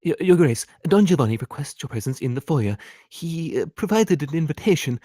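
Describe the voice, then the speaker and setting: A man's voice: a little high, expressive, brisk, mellow, dry recording, studio quality. Man doing a nervous, stammering servant. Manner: hesitant, stammering. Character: nervous, urgent, deferential male servant.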